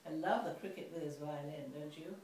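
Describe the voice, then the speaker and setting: A woman reading a picture book to a child, expressive and playful, with chatty asides. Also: sits low, fairly quick. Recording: echoey room, distant mic, faint noise.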